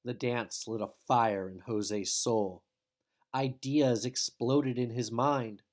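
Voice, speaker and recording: A man reading a children's picture book aloud in an expressive, dramatic storytelling style. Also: medium pitch, slow pace. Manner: dramatic, with emphatic exclamations.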